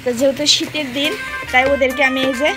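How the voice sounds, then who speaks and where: A woman's voice: high voice, little pitch movement, fast talker, music in the background. A young woman chatting casually with small children and viewers, coaxing with simple, repeated instructions. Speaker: mother filming a family vlog of young children. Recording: clear background noise.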